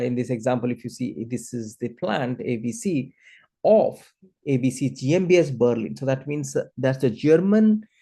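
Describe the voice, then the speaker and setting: A male instructor with a mellow, gravelly voice walks through a training lesson. He explains in a hesitant, halting manner, leaning often on filler words as he goes. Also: mid-range voice.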